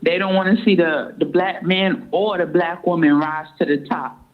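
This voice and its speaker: A woman calling in to a radio talk show, making deliberate, measured points. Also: on the low side, fairly even intonation, slight background noise. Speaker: guest caller. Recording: tinny phone line.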